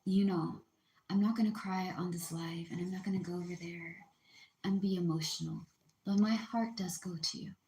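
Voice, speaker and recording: A woman gently comforting viewers in a livestream reading, speaking softly and unhurried, often close to a whisper. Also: lowish voice, steady tone, echoey room. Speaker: spiritual reader on a livestream.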